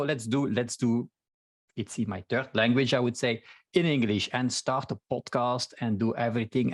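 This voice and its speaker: Belgian man, easygoing interview guest. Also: mid-range voice. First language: Dutch. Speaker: podcast guest, marketer. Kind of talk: casual interview chat.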